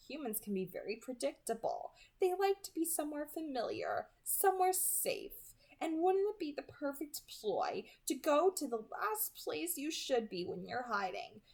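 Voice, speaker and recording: A woman's voice: a little high, vocal fry, husky, exaggerated voice. A woman reading aloud as a gloating, mockingly polite male vampire hunter in a storybook, with a genteel, sarcastic manner.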